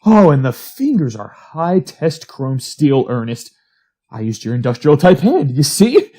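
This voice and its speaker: A brooding, obsessive man who invents things, in an old-time radio play played by an actor. His husky voice moves slowly but swings widely in pitch, with an evasive, hesitant manner. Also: very animated, mellow. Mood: proud, excited. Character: brooding, obsessive amateur inventor named Dan. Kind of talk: retro radio drama acting.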